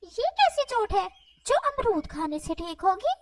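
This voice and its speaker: A woman's voice: very high voice, big pitch swings, a little slow, recorded from a distance, voice pushed up, exaggerated voice. A woman voicing a baffled, trusting male parrot in a children's cartoon, talking in a puzzled, questioning way. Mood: doubtful. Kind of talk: animated children's moral story dialogue.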